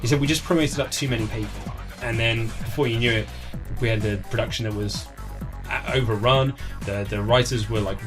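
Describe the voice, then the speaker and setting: British male, 30s, mid-pitched, anecdotal interviewee who acts out quotes, clear music bed underneath. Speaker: interview guest, author. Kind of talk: casual anecdotal interview chat. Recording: music playing behind the voice. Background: background music.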